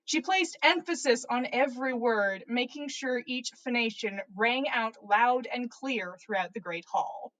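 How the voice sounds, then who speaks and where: A woman's voice: a little high, a little slow, hardly any pauses, fairly bright tone, rough recording. A woman reading fan fiction aloud as a podcast host, narrating with playful, theatrical emphasis. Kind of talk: dramatic story narration.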